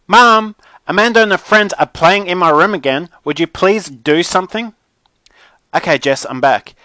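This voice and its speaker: A man as a spoiled, whiny, high-pitched teenage girl. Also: normal speed, sharp tone, dry recording. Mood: exasperated. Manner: whiny, melodramatic, bossy teen slang. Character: spoiled, dramatic, indignant teenage girl on the phone. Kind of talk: children's audiobook character.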